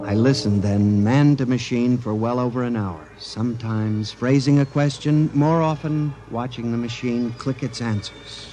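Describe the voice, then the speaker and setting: An actor playing an obsessed, fearful office clerk, narrating in an earnest, theatrical 1950s radio drama manner. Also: male voice, mid-range voice, a little slow, few pauses, mellow, a little hiss or hum, lightly acted. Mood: awed, ominous. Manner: intense, earnest, formal, theatrical. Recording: 1950s radio broadcast with music behind.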